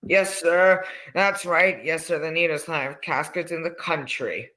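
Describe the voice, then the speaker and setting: A man's voice: a little high, unhurried, pushed and bright. A man acting in an old-time radio drama as a folksy, courteous, rambling old coffin salesman with a sinister politeness. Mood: proud sales patter. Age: older.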